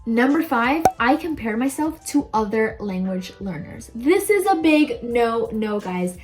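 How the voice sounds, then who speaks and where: A woman's voice: on the high side, lively intonation, a little slow, faint music behind. A young woman giving casual advice to viewers as a language-learning video host, chatty, informal and emphatic. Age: young adult.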